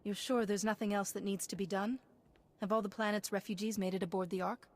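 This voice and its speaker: An actress playing a calm, principled government agent in a science-fiction video game, speaking in a measured, firm, diplomatic way. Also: female voice, mid-range voice, close to an everyday voice. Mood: concerned, businesslike. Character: composed, principled female Republic agent, calm and confident. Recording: long hall reverb, music behind.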